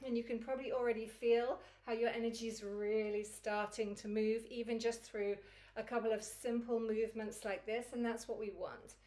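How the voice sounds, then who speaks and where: A woman with a croaky, recovering voice teaching a Kundalini yoga routine in a chatty, encouraging way. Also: a little high, steady tone, moderate pace, dark tone. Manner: chatty and encouraging, laughs easily. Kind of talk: guided yoga class instruction.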